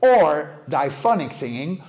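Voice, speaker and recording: A man's voice: a little high, sing-song, unhurried, a little mellow, a little hiss or hum. A man in his forties giving a casual, joking, self-deprecating talk to a live audience as a guest speaker. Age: 40s. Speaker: guest speaker, monster voice actor and vocal coach. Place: indoor venue at an evening meetup. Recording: roomy, echoing live talk venue.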